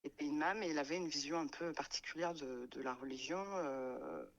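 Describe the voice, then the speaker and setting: A woman's voice: sits low, animated, a little fast, muffled recording. A woman in her late thirties from France, telling her life story with many hesitations, fillers and repeated words. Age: 30s. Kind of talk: hesitant personal testimony. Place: radio studio.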